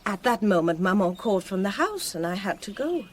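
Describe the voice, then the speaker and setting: An actress playing an earnest, resolute young French gentlewoman in a radio drama. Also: mid-range voice, sing-song, brisk, close to an everyday voice. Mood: confiding. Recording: radio drama with clear background noise.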